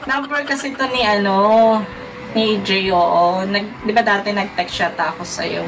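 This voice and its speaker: A woman's voice: mid-range voice, moderate pace, noisy background. A woman with a Filipino accent chatting casually as a prank call vlogger. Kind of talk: casual vlog chat and phone calls. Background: loud music.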